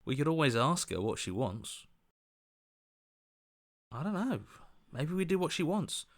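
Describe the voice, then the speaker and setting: An earnest, anxious young hero, dry sound. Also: male voice, fairly high pitch, unhurried, dry recording. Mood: uncertain, conscientious. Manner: hesitant, questioning, expressive. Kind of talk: video game character acting.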